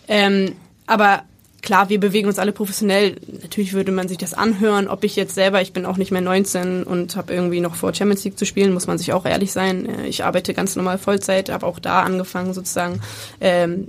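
A woman in her twenties from Bremen, a football player answering interview questions with many fillers and hesitations. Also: mid-range voice. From Bremen, Germany. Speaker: women's football player, guest.

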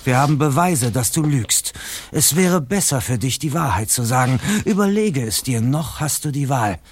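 A man's voice: mid-range voice, lively intonation, normal speed, lightly acted. An actor playing a male police officer in a radio play, speaking firmly to a suspect. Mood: stern.

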